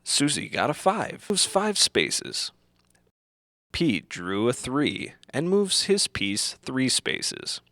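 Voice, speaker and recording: A man reading scripted instructional narration in the manner of an old educational film, deliberate and didactic, in simple phrases. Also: mid-range voice, big pitch swings, bright, forceful tone, dry recording, clean studio sound.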